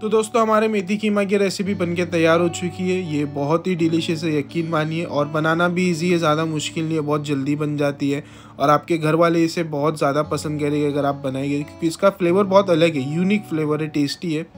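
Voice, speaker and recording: A man's voice: a little high, steady tone, a little fast. A young man hosting a home cooking channel, explaining a recipe step by step in a chatty, friendly way with frequent fillers. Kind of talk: voice-over recipe narration. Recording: soft guitar music behind.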